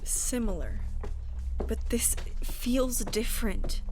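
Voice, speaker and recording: An earnest young woman who runs an occult shop and senses dark things. Her mid-pitched voice is grave and intense, with music playing clearly underneath, in the manner of a supernatural audio drama. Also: sing-song, slow pace. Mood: grave, foreboding. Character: earnest young woman, candle-lit occult shopkeeper and seer.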